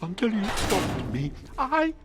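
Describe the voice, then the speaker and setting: A mad artist-surgeon villain in a video game. The pitch swoops wildly and the delivery drags slowly. He is theatrical and childlike, with loud music underneath. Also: huge pitch swings, full character voice. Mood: whining, distraught. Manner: theatrical, halting, third-person childlike speech. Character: deranged, childlike male villain, self-styled artist surgeon. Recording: music behind the voice.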